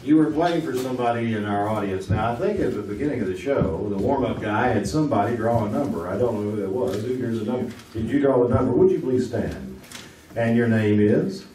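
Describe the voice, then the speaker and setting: Man playing a retro game show host, joking, laughs at own gags, middle pitch, distant, echoey theater. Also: brisk, close to an everyday voice. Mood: genial. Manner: jokey, chatty, laughs at his own gags. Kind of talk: live stage performance of a retro game show. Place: small theater with live audience. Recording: echoey theater, distant mic, loud noise.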